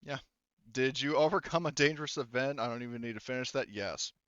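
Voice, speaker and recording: A man's voice: mid-range voice, fairly quick, a bit bright, clear, resonant tone, dry recording, muffled recording. A man running a tabletop game as game master, talking casually to his players in an informal, sweary, rambling way.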